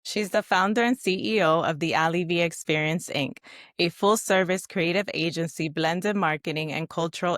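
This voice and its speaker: A woman's voice: lowish voice, a bit bright, no echo, studio quality. A woman co-hosting a podcast, reading a guest introduction in an announcer cadence. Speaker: podcast co-host.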